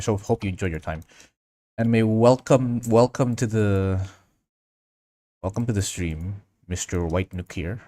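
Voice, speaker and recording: A man's voice: sits low, darkish tone, no echo. A man from Indonesia hosting a casual livestream tutorial, thinking aloud as he works and chatting with viewers. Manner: thinking aloud, reading out and answering chat. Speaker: livestream host. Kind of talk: casual livestream tutorial chat while working.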